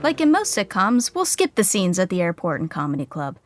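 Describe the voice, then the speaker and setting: A woman's voice: lowish voice, sing-song, fairly quick, over loud music, over a noisy crowd. A woman narrating a scripted podcast sitcom in a dry, matter-of-fact way, setting the scenes.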